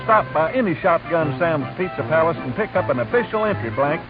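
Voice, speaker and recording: A man reads a folksy, upbeat radio ad. His voice sits high and bounces around a lot. The recording is thin and phone-like, with music playing behind him. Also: expressive, brisk. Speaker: ad announcer. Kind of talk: radio ad read.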